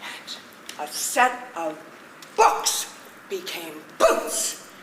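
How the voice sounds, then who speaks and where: A woman's voice: on the low side, wildly swooping pitch, extremely slow, exaggerated voice. A woman on stage playing an effusive, exclamatory actress who worships her playwright, in a comedic play. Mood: excited. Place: live stage at a one-act play festival. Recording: roomy stage sound with noise.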